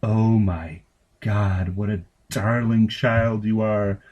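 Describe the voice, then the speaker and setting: Man as sweet elderly woman, effusive, adoring, drawn-out delivery, story character. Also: lowish voice, steady tone, darkish tone, highs cut off. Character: gushing, adoring sweet old lady.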